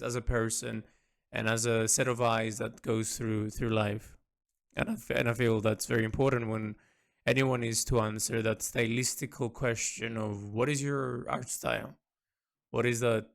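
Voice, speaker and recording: Creaky-voiced man, thinking aloud. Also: mid-range voice. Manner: halting, repeats words as he thinks aloud; earnest, introspective. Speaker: artist recording an audio log. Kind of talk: reflective unscripted monologue.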